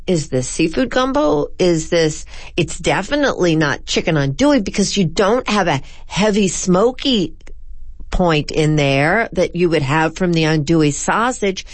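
A woman's voice: mid-range voice, no echo. A woman hosting a food radio program, speaking in an effusive, emphatic manner. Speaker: radio host and interviewer. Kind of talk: food interview and scripted radio narration.

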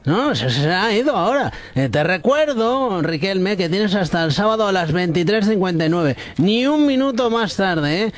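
A man acting as a cocky male radio joker with a very high voice. He teases and taunts in a bantering way, like a comic impressionist needling everyone around him. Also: animated, normal speed, few pauses, no echo. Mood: mocking taunts.

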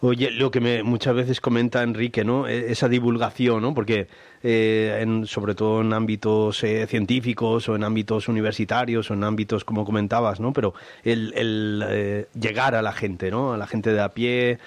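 A man hosting a radio talk show, chatting casually and prompting his guest with quick friendly interjections. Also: on the low side, flat delivery, dry recording. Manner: friendly interjections, laughs often. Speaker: radio host.

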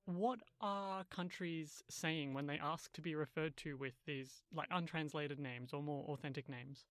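A man's voice: on the high side, steady tone, a little slow, fairly bright tone, clear, resonant tone, no room sound, clean studio sound. A man working as a radio reporter, narrating from a script and putting questions to a guest.

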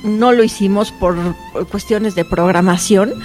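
A woman with a Mexico City accent speaking as a musician guest in a casual interview, telling things in an expressive, emphatic way. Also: mid-range voice, normal speed, faint music behind. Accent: Mexico City Spanish. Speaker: guest, classical violinist and concertmaster.

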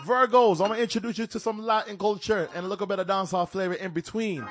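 A man's voice: high-pitched, quick pace. Hyped radio DJ man over music. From Barbados and Panama. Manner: energetic, slangy, shouting over music. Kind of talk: hyped radio show hosting.

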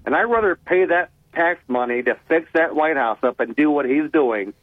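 An older man with a deep voice, calling in to a talk radio show and chatting in a blunt, rambling, folksy way. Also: expressive, normal speed, no echo. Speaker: caller. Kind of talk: casual call-in chat. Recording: tinny phone line.

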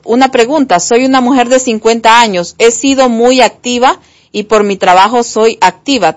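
A woman hosting a live broadcast, presenting and reading out messages from the audience. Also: a little high. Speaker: host reading viewer messages.

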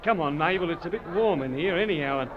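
An actor playing a shy, stammering young Australian farmer's son in an old radio serial. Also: male voice, a little high, animated, quick pace, a crowd in the background, close to an everyday voice. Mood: cheerful. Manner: bashful, stammering, laughing. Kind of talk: 1930s radio serial acting. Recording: dull old radio recording with noise.

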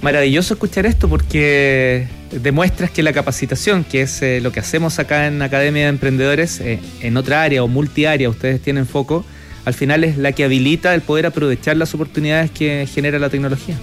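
Brief: male radio host, slightly high voice, chatty, faint music behind. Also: fairly even intonation, fairly quick. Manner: upbeat, chatty, reads sponsor copy. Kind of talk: radio show hosting.

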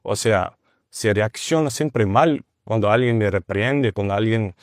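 Brief: Brazilian man, non-native Spanish, halting delivery, dry close sound. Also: lowish voice, no echo. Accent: Brazilian Portuguese. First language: Portuguese. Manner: halting, with restarts and searching for words. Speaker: guest, teacher of a ministry. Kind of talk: conversational testimony.

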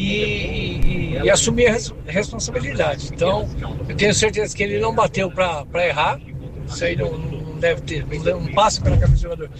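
A man with a Brazilian accent giving a casual opinion as a radio listener. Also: high voice. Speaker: radio listener sending a message. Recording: noisy outdoor street and traffic sound.

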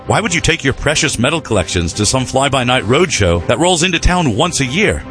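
A male announcer with a lively, swinging pitch, delivering a punchy sales pitch for a radio ad. Clear music runs under his voice. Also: fairly quick. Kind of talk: scripted radio ad read.

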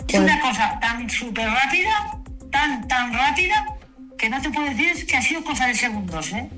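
A woman giving a shaken eyewitness account as a local resident, speaking haltingly and repeating herself. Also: a little high, sing-song, pushed and bright, soft background music.

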